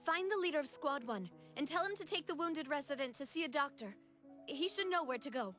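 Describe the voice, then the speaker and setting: A woman voicing a composed young female leader in a video game, speaking in a measured, formal, grave manner. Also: high-pitched, animated, a bit bright, soft background music. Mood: composed, commanding.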